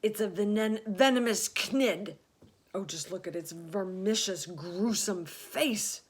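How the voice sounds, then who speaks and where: A woman's voice: lowish voice, animated, moderate pace, natural voice. A woman voicing a grave, fussy police chief who speaks with gloomy seriousness.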